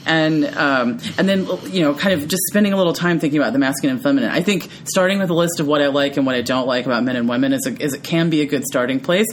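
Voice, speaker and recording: A woman with a deep voice co-hosting a podcast in reflective casual conversation, often checking in with "right?" and laughing easily. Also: fast talker, hardly any pauses, slight background noise. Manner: tags thoughts with frequent "right?"; laughs easily, animated when amused. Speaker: podcast co-host.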